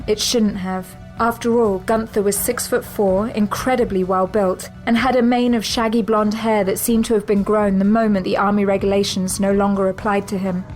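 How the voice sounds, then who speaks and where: A woman narrates a spy audio drama with measured, even delivery. Her voice sits in a middle range, with faint music playing underneath. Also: mid-range voice.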